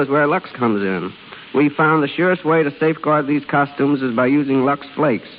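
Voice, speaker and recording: A middle-aged man speaking as a guest in a scripted 1930s radio interview, measured, on a tinny, echoey recording. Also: mid-range voice. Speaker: studio wardrobe director, guest. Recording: hissy, tinny, echoey old radio broadcast.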